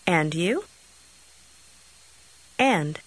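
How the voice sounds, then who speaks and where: A woman modeling short phrases in a language course, speaking slowly and deliberately. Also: lowish voice, sing-song, no echo, poor audio.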